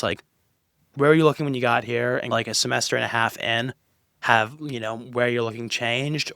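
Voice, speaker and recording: A man's voice: medium pitch, vocal fry, sharp tone, no room sound, studio quality. A young man hosting a casual interview podcast, asking long, loose, rambling questions. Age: young adult. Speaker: podcast host.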